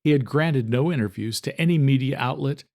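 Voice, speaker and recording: A male audiobook narrator with a medium-pitched voice. He reads a scripted thriller in a measured, dramatic storytelling style. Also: a little mellow.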